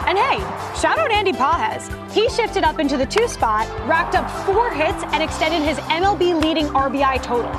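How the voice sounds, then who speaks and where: A woman with an American accent reading scripted sports news highlights. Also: animated, normal speed, hardly any pauses. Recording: loud music bed behind voice.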